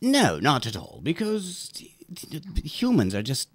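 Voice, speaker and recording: A man's voice: on the high side, huge pitch swings, slow pace, full character voice. A man playing a sarcastic, exasperated male Easter Bunny in an improvised comedy interview. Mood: scornful.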